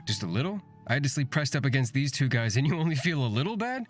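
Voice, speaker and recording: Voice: mid-range voice, very animated, fast talker, faint music behind. A performer voicing a grumpy, sarcastic young man in a fantasy visual novel.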